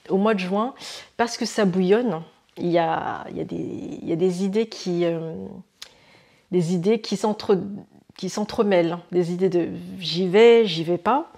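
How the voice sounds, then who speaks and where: A woman's voice: mid-range voice, a little mellow, slightly rough. A French woman hosting her own astrology channel, talking casually to camera with many hesitations and restarts, using vivid images. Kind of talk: casual explanatory monologue to camera.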